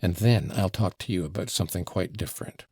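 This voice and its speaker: A man reading aloud and voicing a capricious, imperious teenage girl who is sharp, bossy and mocking. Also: sits low, fairly quick, dry recording, studio quality. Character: capricious, imperious invalid girl in her teens.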